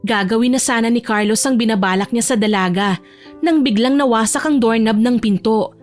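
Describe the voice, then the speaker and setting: A woman's voice: fairly high pitch, a little fast, faint music behind. A woman reading scripted narration for a dramatic romance audio story. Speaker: narrator and voice actor.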